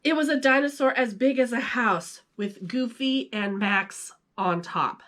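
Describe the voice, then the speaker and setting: A woman storyteller reading a children's storybook aloud in an animated style. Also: a little high, measured pace.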